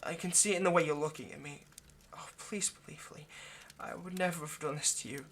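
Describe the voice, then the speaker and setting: Male vampire lover, played by a man, for intimate audio roleplay: ageless, possessive, remorseful, halting and pleading delivery, slightly noisy recording. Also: on the high side, a bit bright. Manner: halting, earnest, pleading, dropping to near-whisper.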